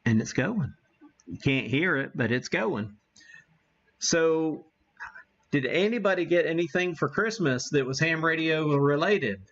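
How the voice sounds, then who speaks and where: A man hosting a casual, unscripted live radio-hobby demo, thinking aloud in a halting way as he works the equipment. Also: a little high, animated, a bit bright, lo-fi sound. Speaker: livestream host, amateur radio operator.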